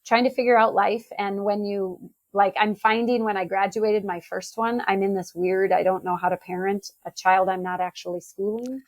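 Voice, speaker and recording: A woman's voice: medium pitch, fairly even intonation, moderate pace, few pauses, dry recording, low-quality recording. A middle-aged American woman chatting casually about books as a podcast guest. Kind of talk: casual book chat.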